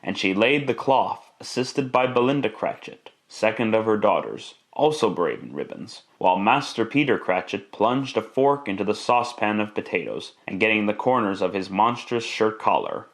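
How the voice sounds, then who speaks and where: A man's voice: lowish voice, expressive, moderate pace, hardly any pauses. A man narrating a classic radio drama in a theatrical, emphatic storytelling style.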